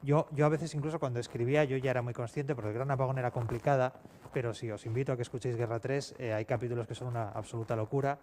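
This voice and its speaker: A man's voice: medium pitch, fairly even intonation, fast, a little mellow. A man speaking informally as a writer on a discussion panel. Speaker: panelist, audio drama writer. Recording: noisy live event room.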